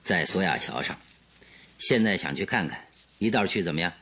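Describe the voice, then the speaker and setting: Radio drama detective: low voice, lively intonation, shrewd, probing, authoritative. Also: male voice, normal speed, exaggerated voice. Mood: calm assurance. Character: shrewd, composed male detective questioning a witness.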